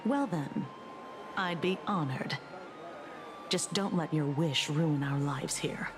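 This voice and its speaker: An actress voicing a poised female public official in a video game, formal and measured, with a teasing, probing edge. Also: deep voice, noisy background.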